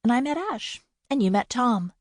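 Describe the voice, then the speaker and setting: A woman voicing an excitable, pouty youngest sister with a breathy voice. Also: on the high side, moderate pace, no echo, clean studio sound, pitched above the performer's own voice. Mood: pleading, upset. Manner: pouty, exasperated, gushing.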